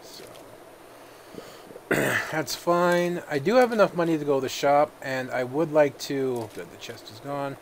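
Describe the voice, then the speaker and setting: A man's voice: a little high, unhurried, a little hiss or hum. Man narrating gameplay while a little sick. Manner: relaxed, thinking aloud with many fillers. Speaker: gaming video host. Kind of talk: casual let's-play commentary.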